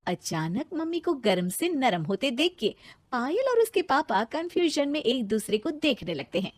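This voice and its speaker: A woman telling a cartoon story in a high, lively voice that swings up and down in pitch. She sounds animated and a little cheeky. The sound is dry and close to the mic. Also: normal speed, no room sound. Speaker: narrator and lead voice.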